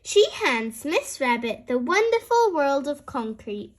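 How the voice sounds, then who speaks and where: Woman storyteller, very high voice, slow pace, expressive children's read-aloud. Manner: expressive, addressing young children. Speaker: storyteller, host.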